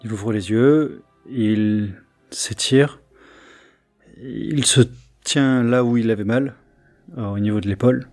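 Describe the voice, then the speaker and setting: A man narrating as game master of a tabletop game, halting with many fillers and restarts. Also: medium pitch, a little mellow, soft background music.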